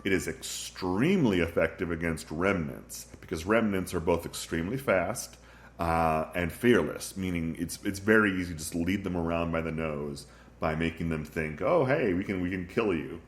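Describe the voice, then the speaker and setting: A man's voice: low-pitched, normal speed, reverberant. A man narrating a casual gameplay walkthrough, thinking aloud with frequent ums, sniffly from a recent flu. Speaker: game tutorial narrator.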